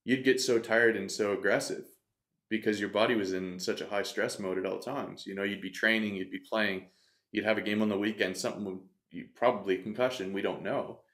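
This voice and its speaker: A man in his thirties speaks in a fairly low register, earnest and reflective as he recounts his own experience to a host. He often restarts his sentences and circles back to a thought. The sound is close and clean, from a desk mic in a studio room. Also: steady tone, brisk, a bit bright. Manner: earnest, reflective, self-interrupting. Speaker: podcast guest, coach. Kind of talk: candid storytelling in conversation. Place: bright studio room, indoors. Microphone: desk mic on stand.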